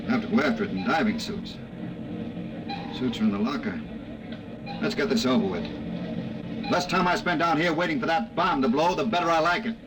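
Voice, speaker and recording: A man's voice: medium pitch, a little fast, pauses often, lightly acted. A man playing a blunt army general who barks curt orders, acted in 1950s radio drama style, on a hissy old broadcast recording. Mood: gruff.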